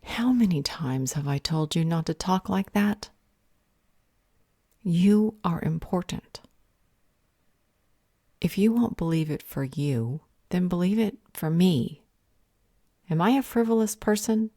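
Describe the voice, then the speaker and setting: A woman playing a stern, protective vampire healer in an audio roleplay, speaking in a measured, firm and earnest way. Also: on the low side, expressive, warm tone, dry recording, studio quality, exaggerated voice.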